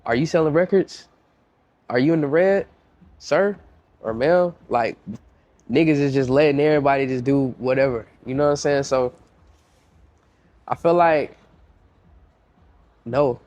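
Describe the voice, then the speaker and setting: A man's voice: medium pitch, a little slow, pauses often, a little mellow, dull audio. A young man with a congested voice, a music producer talking casually in a podcast interview, slangy and repeating himself as he tells stories.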